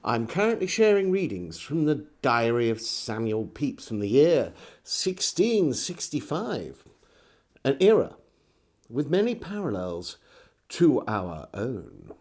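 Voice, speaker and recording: A man's voice: medium pitch, big pitch swings, darkish tone. An English man giving a scripted, theatrical reading in the manner of a storyteller introducing and narrating an old diary. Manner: measured, theatrical delivery. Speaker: storyteller and host.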